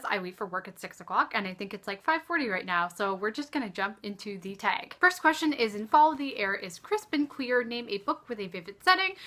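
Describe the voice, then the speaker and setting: A woman's voice: fairly high pitch, sharp tone. A woman chatting casually to viewers as a book vlogger, with an enthusiastic, gushing, slangy way of talking.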